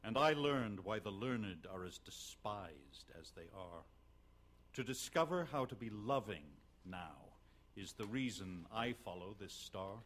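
A man's voice: lowish voice, animated, measured pace, a bit bright, slight background noise. A middle-aged man playing a rueful scholarly wise man in an articulate, intense verse reading. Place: concert stage in a radio performance hall. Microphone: close studio mic on boom.

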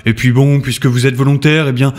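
A man's voice: mid-range voice, fairly even intonation, brisk, darkish tone, natural voice. A man playing an exasperated, sarcastic, flippant meeting chairman in a comedic sci-fi radio play. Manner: sarcastic, hesitant fillers, swooping outbursts.

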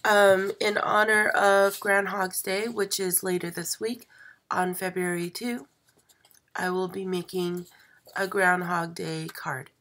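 A woman who is sick and losing her voice, hosting a live stream craft demo at a table and talking slowly with many fillers and pauses. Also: mid-range voice, little pitch movement. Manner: slow, hesitant, many fillers, soft. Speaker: craft channel host. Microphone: overhead camera, hands only.

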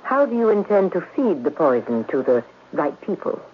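A man's voice: on the high side, sing-song, moderate pace. An actor in a 1970s radio drama playing a cool, deferential male underworld fixer who speaks formally and discreetly. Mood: coolly attentive. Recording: muffled, noisy vintage radio recording.

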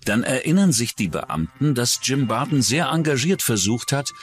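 A man's voice: medium pitch, big pitch swings, normal speed, slight background noise. A man reading scripted narration for a true-crime documentary.

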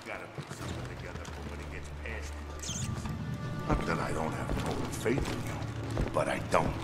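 Low-voiced, swaggering New mob boss. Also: male voice, lively intonation, a little fast, loud music behind the voice. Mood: taunting. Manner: gangster slang, tough-guy bluster. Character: brutish swaggering male mob boss, menacing and sarcastic. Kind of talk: film noir video game acting.

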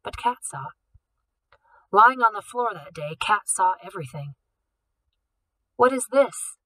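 A woman reading a novel aloud, steady and expressive. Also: medium pitch, measured pace, no echo, rough recording. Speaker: audiobook reader.

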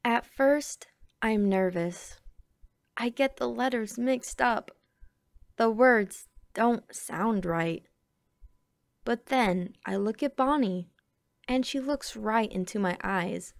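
A woman reading a children's picture book aloud with expressive, deliberate phrasing. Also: a little high, creaky, dry recording, studio quality. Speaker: storybook reader.

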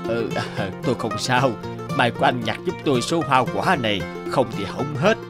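A man playing a kind, devoted elderly countryman father in a cartoon, speaking gently and earnestly. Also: medium pitch, animated, normal speed, close to an everyday voice. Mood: humble, calm. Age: older. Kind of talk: animated cartoon dialogue. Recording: music behind the voice.

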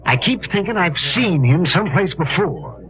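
An actor playing a fussy, regretful American town doctor in a 1950s radio western, folksy and hesitant. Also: male voice, high voice, expressive, normal speed. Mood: regretful, puzzled. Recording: dull radio recording with crowd murmur.

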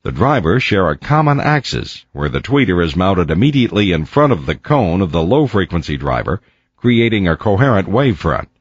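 Male instructional narrator, low register, measured and didactic, very dry voice-over with slightly muffled sound. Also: very animated, husky, no echo. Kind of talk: scripted educational narration. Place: close, dry voice-over recording.

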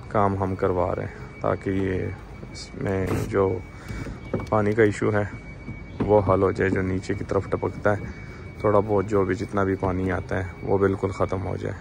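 A man's voice: lowish voice, gravelly. A young man hosting a farm channel, casually narrating his vlog on an outdoor city rooftop with a phone camera. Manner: relaxed, explains while asking questions.

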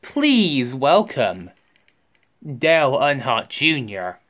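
Woman voicing a forceful, bossy toy-car gang boss. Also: extremely low pitch, big pitch swings, drawn-out words, exaggerated voice. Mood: mocking welcome.

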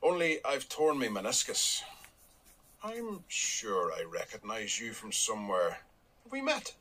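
An actor playing a blunt, wry middle-aged dairy farmer with a Northern Irish accent, in a radio drama. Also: male voice, on the low side, huge pitch swings, measured pace, sharp tone, breathy edge, exaggerated voice, low-quality recording. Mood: wry, stubborn.

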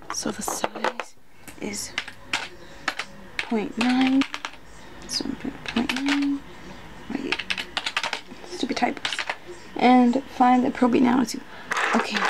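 Woman, ASMR roleplay as a nervous student: slow, gravelly fry, halting self-talk with whispered bits, noisy clicky recording. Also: a little high. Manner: hesitant, flustered, repeats words, often slipping into whispers. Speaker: ASMR roleplayer acting as an unprepared student taking a quiz. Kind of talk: ASMR roleplay, muttering to herself.

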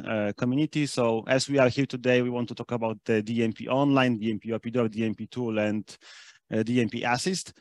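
A man's voice: mid-range voice, no echo. A man giving an explanatory technical presentation to slides, speaking as a conference presenter. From Austria (based there).

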